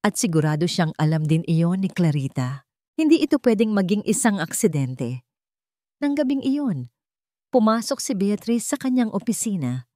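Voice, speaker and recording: A woman's voice: mid-range voice, sing-song, moderate pace, mellow, no room sound, studio quality. A woman reading a scripted dramatic story as its narrator.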